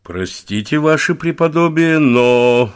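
An actor playing a polite, formal, deferential medieval judge with a courteous, officious manner in an audio play. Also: male voice, a little high, animated, slow, clean tone. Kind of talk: Russian audio play acting.

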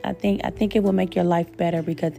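A woman's voice: fairly low pitch, fairly even intonation, fast talker, vocal fry. A woman hosting a podcast in a casual, encouraging way, often speaking of faith and gratitude to her listeners. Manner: warm, encouraging, spiritual, talks to listeners as "my babies". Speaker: podcast host. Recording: faint guitar music behind.

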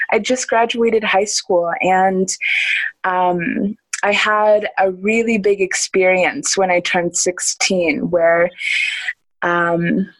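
A woman's voice: fairly low pitch, no room sound, low-quality recording. Enthusiastic young woman, dry close sound. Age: young adult. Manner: earnest, enthusiastic, laughs often, many restarts. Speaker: interview guest. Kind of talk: casual conversational interview.

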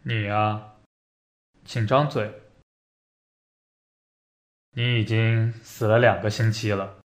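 A man in an amateur radio drama plays an unfeeling, matter-of-fact doctor with a deadpan, curt manner. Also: lowish voice, slow pace. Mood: detached.

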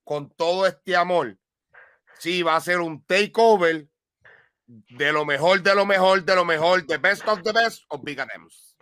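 Guy cohosting, emphatic, repeats himself, high bright voice. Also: a little slow, clean tone, dry recording. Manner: emphatic, repeats words while explaining. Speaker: podcast co-host. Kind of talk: casual chat.

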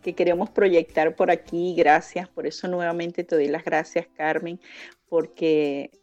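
A woman's voice: fairly low pitch, flat delivery, measured pace, darkish tone, no echo, muffled recording, faint music behind. A mature Venezuelan woman in her fifties or older, telling her story emphatically as a guest in a conversational interview, often repeating words to build a point. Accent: Venezuelan Spanish. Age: 50s or older. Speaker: interview guest, founder of a women's leadership project.

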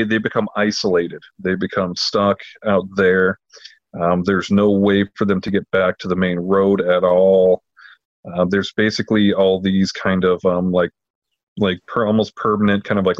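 A man co-hosting a podcast, chatting casually in a halting way full of fillers, on a slightly dull recording. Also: low-pitched, dry recording. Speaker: podcast co-host. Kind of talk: casual chat, informal book recommendation.

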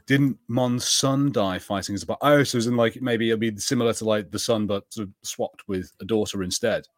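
A man's voice: on the low side, fairly quick, no echo, clean studio sound. A man co-hosting a podcast, chatting casually and rambling with many fillers and restarts. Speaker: podcast co-host. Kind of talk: casual chat.

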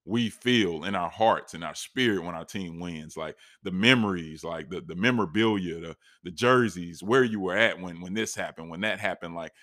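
A man's voice: low-pitched, fairly bright tone, dry recording, clean studio sound. A man in his late thirties from North Carolina, talking casually about sports fandom with a rambling, conversational manner and a slightly scratchy voice. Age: 30s. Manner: rambling, conversational, many restarts and asides. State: scratchy voice, sipping tea. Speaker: sports fan commentator. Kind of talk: casual monologue.

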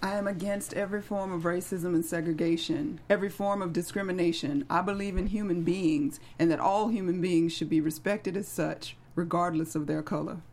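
An actress playing an earnest, grieving high school teacher at a screenplay table read. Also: female voice, steady tone, fairly quick, few pauses, a little mellow. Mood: solemn, moved.

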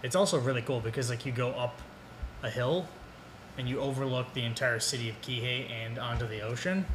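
A man of average pitch shares news on a laid-back podcast. He rambles, with plenty of "uh"s between thoughts. The room is echoey, and noise hums behind him. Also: a little fast. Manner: relaxed, rambling delivery with frequent "uh" fillers. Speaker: podcast co-host reading beer news. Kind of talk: casual podcast banter.

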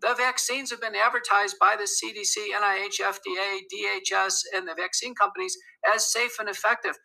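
Firm, high, gravelly male guest voice. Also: fairly even intonation, brisk, sharp tone, rough recording. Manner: firm, assertive, lists facts steadily. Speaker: doctor interviewed as guest. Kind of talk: interview clip.

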